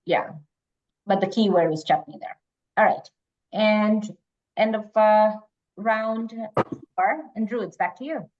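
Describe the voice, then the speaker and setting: A woman's voice: medium pitch, a little slow, long pauses. A woman hosting a quiz, reading out questions and chatting casually with the players. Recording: dull lo-fi online call audio.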